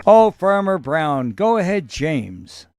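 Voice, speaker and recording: Higher-pitched man running a radio net. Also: very animated, darkish tone. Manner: friendly, folksy, with many pauses and fillers. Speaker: net control host of a ham radio net. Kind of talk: radio net operating chat.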